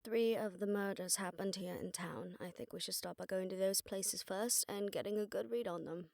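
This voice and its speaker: A woman's voice: mid-range voice, fairly even intonation, normal speed, creaky, darkish tone, dry recording, lightly acted. Wry witch investigator, played by a woman, dry close sound. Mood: resolute, practical. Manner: sardonic, conversational. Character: wry, jaded young witch investigator who sees death visions. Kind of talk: roleplay audio drama, spoken to the listener as a partner.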